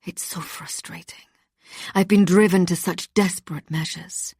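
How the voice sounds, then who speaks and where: A woman voicing a calm, relaxed adult male kidnapper with a Russian accent in an audiobook. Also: lowish voice, measured pace, creaky, slightly rough, dry recording, studio quality, heavily acted. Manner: relaxed, even and controlled. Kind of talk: audiobook character voice.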